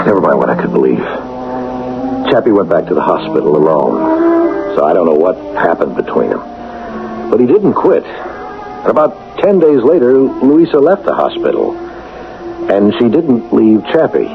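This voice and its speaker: An actor playing a folksy, reflective football coach who narrates a radio drama in a conversational, musing way. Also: male voice, deep voice, gravelly, exaggerated voice. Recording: tinny vintage radio broadcast with music.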